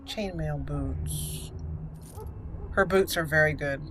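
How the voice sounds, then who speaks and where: Slow-paced woman narrating a game. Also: deep voice, noisy background. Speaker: gaming channel host. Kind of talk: casual roleplay game walkthrough narration.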